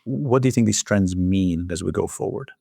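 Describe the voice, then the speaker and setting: Low, gravelly male interviewer. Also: normal speed, mellow, no echo, clean studio sound. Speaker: interviewer, journal editor hosting. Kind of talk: formal interview questioning.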